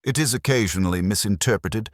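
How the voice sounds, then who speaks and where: A man's voice: on the low side, quick pace, dry recording, clean studio sound, pitched above the performer's own voice, close to an everyday voice. A man voicing a prim, tartly witty matron in a mystery story, arch and formal.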